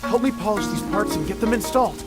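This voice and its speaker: A man playing an excitable, earnest young male inventor in a video game cutscene. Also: high-pitched, fast. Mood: excited, proud. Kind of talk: animated game cutscene dialogue. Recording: loud music behind the voice.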